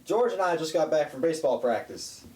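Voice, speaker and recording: A man's voice: a little high, lively intonation, brisk, dark tone, natural voice. A man playing a secretive teenage pal in a radio play. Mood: sheepish. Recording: distant mic, faint hiss.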